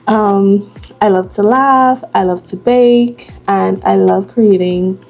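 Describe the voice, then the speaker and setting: A young Bahamian woman in her late twenties talks slowly and easily while she does her makeup. Her voice is gravelly and mellow. Soft music plays faintly behind her. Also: medium pitch, highs cut off. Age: 20s. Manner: slow, relaxed, chatty while doing makeup. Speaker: vlogger. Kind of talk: casual get-ready-with-me chat.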